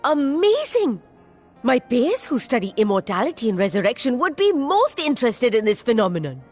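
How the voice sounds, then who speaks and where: A woman playing a curious, excitable young scholar in a game cutscene, expressive and eager. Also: a little high, normal speed. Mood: fascinated. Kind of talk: video game cutscene acting. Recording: music behind.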